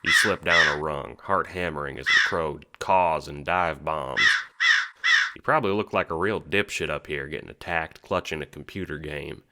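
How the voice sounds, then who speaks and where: Very deep-voiced man narrating audio drama. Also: normal speed, sharp tone. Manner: sweary, sardonic.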